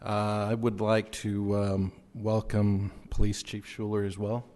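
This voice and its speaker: A council member, a man with a low, dark voice, speaks into a desk microphone with plenty of ums and uhs. He turns stern and lecturing as he goes on. The chamber adds a long, lingering reverb to every word. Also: completely flat delivery. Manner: halting, many fillers, turns stern and reproachful. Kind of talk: formal public statement at a meeting.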